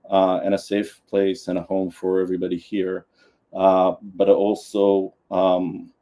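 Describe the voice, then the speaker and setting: A man speaking at a community gathering, his voice very low and dark. He delivers the talk in a flat, even tone. He pauses often and restarts his sentences. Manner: hesitant, many ums and restarts. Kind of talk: public talk at a community event.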